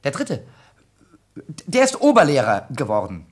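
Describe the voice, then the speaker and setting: A cheeky grammar-school pupil in a radio comedy. His voice is husky and swings widely in pitch, with polite, hesitant phrasing that feigns innocence and deference. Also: wildly swooping pitch, a little slow. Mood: hesitant storytelling. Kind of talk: comedic German radio play acting.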